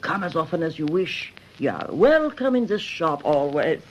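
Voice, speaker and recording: A woman's voice: low voice, very animated, normal speed, low-quality recording. A motherly immigrant shopkeeper woman, speaks. She is encouraging and sympathetic, her intonation rising and falling freely, with slight noise behind her. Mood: kindly, encouraging. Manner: coaxing, fond, encouraging. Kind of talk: radio drama acting.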